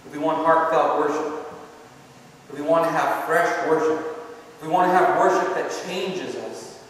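A man's voice: on the high side, normal speed, a little hiss or hum. A man preaching a sermon with an earnest, repeating cadence. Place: church sanctuary. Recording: echoey hall, voice from far off.

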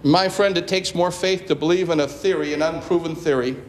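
A male pastor delivers an emphatic sermon in a fairly high voice. The church is roomy and echoey, with faint background noise. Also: brisk. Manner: emphatic, declarative preaching. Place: church sanctuary with a congregation.

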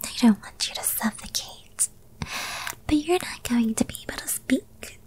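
A woman voicing a possessive, lovestruck young witch girlfriend in an ASMR roleplay, in a breathy, cooing and controlling manner. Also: fairly high pitch, animated, unhurried, a little mellow. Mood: soothing, smug.

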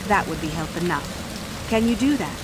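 An actress playing a young, guarded, earnest runaway student in a video game, over loud ambient noise. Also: female voice, on the low side, animated, a little fast, natural voice. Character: wary, defiant young female runaway student. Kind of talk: video game character acting.

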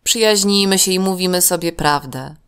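A woman's voice: lowish voice, moderate pace, clear, resonant tone, natural voice. A woman voicing a trusting, principled young boy in a children's story, sincere and emphatic. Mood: relieved.

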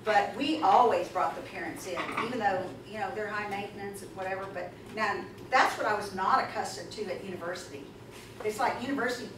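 A woman presenting at a conference, talking in an emphatic, chatty way and taking questions from the audience. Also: medium pitch. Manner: animated, emphatic, chatty with asides. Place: conference room, audience seated. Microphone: far camera in the audience. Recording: echoey room from far off, noisy.

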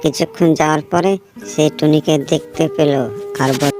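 A man's voice: a little high, little pitch movement, moderate pace. A man narrating a scripted children's fable as the storyteller. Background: background music.

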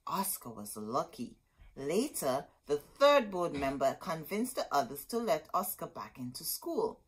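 A woman's voice: sits low, very animated, unhurried, slightly rough. A middle-aged woman reading a children's picture book aloud in a dramatic, emphatic storytelling manner. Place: indoor room with bookshelves.

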